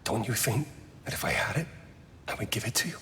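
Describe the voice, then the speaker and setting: A man's voice: mid-range voice, expressive, fast talker, fairly bright tone, lightly acted. An actor playing a domineering, sardonic, self-important male corporate boss in a dramatic video game scene. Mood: cold impatience. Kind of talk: dramatic game cutscene acting. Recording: reverberant hall, noisy background.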